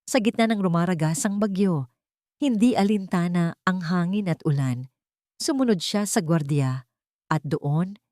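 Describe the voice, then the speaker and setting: Low-voiced woman narrating a dramatic story. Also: warm tone, no room sound, clean studio sound.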